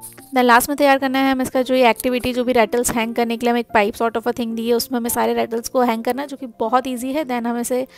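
A woman's voice: fairly high pitch, flat delivery, fast, faint music behind. A young woman chatting casually as she reviews a baby toy, explaining its features and mixing English phrases into her talk. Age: young adult. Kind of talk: casual product review, Hindi mixed with English. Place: small bedroom indoors.

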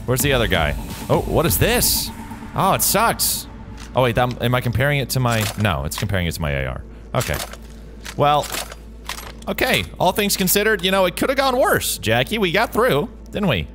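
A man's voice: mid-range voice, wildly swooping pitch, moderate pace. An American man giving casual, jokey gameplay commentary, slangy and talking back to the game. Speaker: gaming video host. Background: game music and vehicle sounds.

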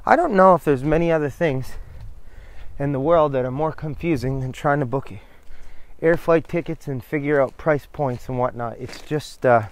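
A man's voice: a little high, normal speed. A middle-aged man chatting casually while walking through windy woods, rambling and interrupting himself as he talks into a handheld camera. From New Brunswick, Canada. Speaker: vlogger. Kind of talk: casual hiking vlog chat. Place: outdoors in windy coastal woods.

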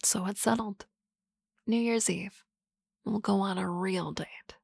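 A woman playing a teasing, confident office boss in a soft, close, whispered ASMR roleplay. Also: mid-range voice, steady tone, slow, creaky, slightly rough, dry recording.

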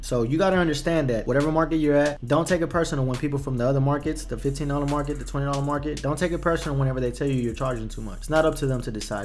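A man's voice: medium pitch, darkish tone. A young man from Texas, a barber, casually talking viewers through pricing and income math on a screen share, often repeating words as he thinks. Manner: conversational, repeats words while thinking, addresses viewers as "you guys". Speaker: barber teaching business. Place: small room indoors. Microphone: desk microphone at a computer, webcam in corner.

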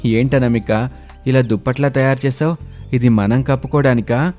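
A man's voice: medium pitch, steady tone, moderate pace, warm tone, soft background music, natural voice. A man doing a curious young husband. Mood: puzzled.

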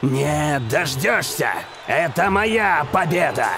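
Cocky, slow-talking star footballer. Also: male voice, fairly high pitch, lively intonation, creaky, music in the background, heavily acted. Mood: boastful. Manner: boastful, exclamatory. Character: cocky, hot-headed male star footballer with swagger. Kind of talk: cartoon dubbing.

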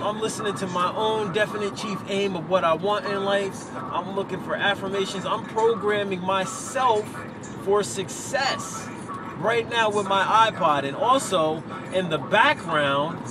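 A young man giving an upbeat, earnest motivational talk to camera while driving, speaking into an earphone mic. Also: high-pitched. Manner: upbeat, earnest, repeats himself. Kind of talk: casual motivational vlog. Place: inside a moving car. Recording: loud road and engine noise.

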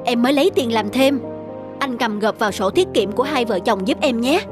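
High-voiced, emotional young wife acted by a woman. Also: fairly quick, close to an everyday voice. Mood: earnest. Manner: emotional, reproachful. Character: caring young wife, indignant when wrongly accused. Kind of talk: animated drama dubbing. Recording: music behind the voice.

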